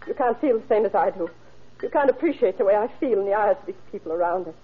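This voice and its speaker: An actress playing a brooding, class-obsessed, quietly unhinged mother of grown sons in an old radio drama, with a halting, plaintive delivery. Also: mid-range voice, lively intonation, husky. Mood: bitter, brooding. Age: middle-aged or older. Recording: noisy, tinny old radio recording.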